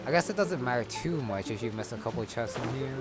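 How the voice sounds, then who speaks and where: A male gamer talks through his play casually, thinking aloud. His mid-pitched voice is low-key, and loud game music runs behind it. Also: expressive, quick pace. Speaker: gamer, playthrough host.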